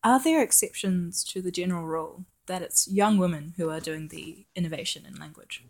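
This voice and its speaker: A woman's voice: fairly low pitch, fairly quick, a little mellow. Playful young woman podcaster. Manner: playful, laughs a lot, acts out quotes. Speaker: podcast co-host. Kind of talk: casual chat.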